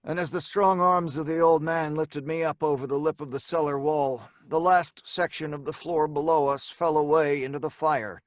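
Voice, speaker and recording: A man's voice: on the high side, fairly even intonation, moderate pace, few pauses, dry recording, close to an everyday voice. An actor playing an anxious robber who pleads and narrates his tale earnestly in an old-time radio drama. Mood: grave narration. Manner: earnest, urgent.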